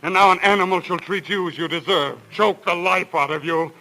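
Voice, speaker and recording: An actor playing a deranged, murderous husband in an old-time radio thriller, theatrical, swinging between hushed coaxing and frenzy. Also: male voice, high voice, fairly quick, rough recording. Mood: frenzied. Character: deranged, murderous husband confessing his crime. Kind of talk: old-time radio thriller acting, first-person narration. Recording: old radio recording with loud music.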